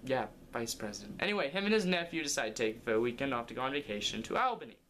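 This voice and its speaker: A man's voice: mid-range voice, animated, quick pace, fairly bright tone, slight background noise. A young man improvising a comic story as its narrator, recorded indoors in a living room. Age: young adult.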